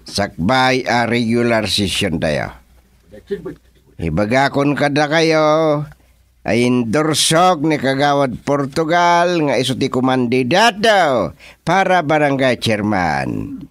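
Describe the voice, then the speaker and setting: A man with an Ilocano accent playing an authoritative middle-aged village chairman in a radio comedy-drama, speaking in a deliberate, speechifying way. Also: mid-range voice, expressive, fairly bright tone, no room sound, studio quality. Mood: measured, authoritative.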